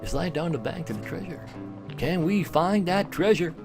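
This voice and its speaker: A man's voice: a little high, expressive, moderate pace, gravelly, music in the background. A man livestreaming a game, switching between chatty commentary to his viewers and reading story text aloud as a narrator. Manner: chatty, talking to live viewers.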